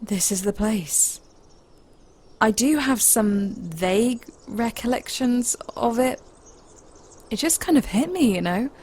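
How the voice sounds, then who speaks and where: A woman playing a reserved, anxious starship lieutenant in an audio drama, with a husky voice and a hesitant, thoughtful manner. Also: a little high, animated, slow, darkish tone, full character voice. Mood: nervous, hesitant. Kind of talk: audio drama acting, personal log and dialogue.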